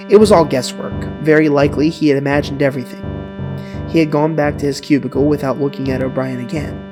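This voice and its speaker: A man narrating a dramatized literary audiobook with earnest, dramatic phrasing and deliberate pauses. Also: a little high. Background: guitar music.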